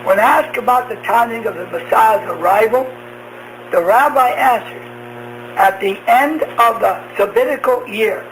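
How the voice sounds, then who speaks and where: A man preaching a radio sermon in slow, halting phrases, posing rhetorical questions. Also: high voice, fairly bright tone. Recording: noisy humming shortwave radio broadcast.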